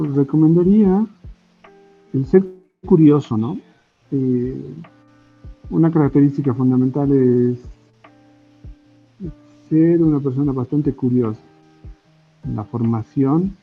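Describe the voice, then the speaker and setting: Slow, hesitant Bolivian man, muffled audio. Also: a little high, slightly rough. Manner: hesitant, thoughtful, often ending on tag questions. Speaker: guest, comic artist and illustrator. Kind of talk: casual interview conversation. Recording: muffled lo-fi audio with faint music. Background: faint background music.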